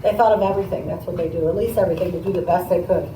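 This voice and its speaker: A woman teacher reading aloud to children, pausing to explain and ask questions in a conversational way. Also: fairly low pitch. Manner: explanatory, prompting listeners with questions. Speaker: teacher demonstrating a read-aloud. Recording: roomy, distant mic, noisy room.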